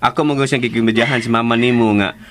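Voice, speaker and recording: An actor with a Filipino accent playing a jokey, teasing uncle in a radio drama. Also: male voice, mid-range voice, fairly even intonation, fast talker, lightly acted. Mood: teasing, amused.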